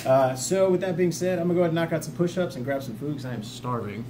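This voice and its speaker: A man's voice: fairly high pitch, fast talker. A man speaking in a casual, plain-spoken, encouraging way, giving informal instruction partly read from notes, as the host of a vlog. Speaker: vlog host, peer mentor for trauma coping. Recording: clearly noisy ambient background.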